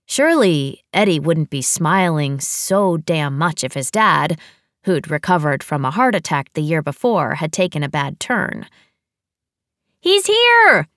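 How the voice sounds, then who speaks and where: A woman's voice: low voice, lively intonation, a little slow, dry recording, clean studio sound. An American woman reading a first-person romantic comedy novel as a scripted audiobook narration.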